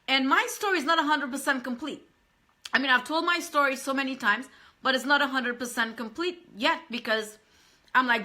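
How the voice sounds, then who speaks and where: A woman's voice: sits high, sharp tone. A woman, earnestly talking to live-stream viewers as a business coach and often repeating herself. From Trinidad and Tobago.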